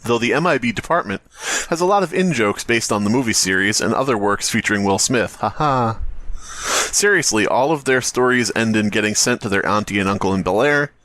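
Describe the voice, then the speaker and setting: A man's voice: fairly low pitch, lively intonation, a little fast, fairly bright tone. A man hosting a comedy podcast, riffing casually with jokes and swearing, and reading bits aloud. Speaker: podcast host.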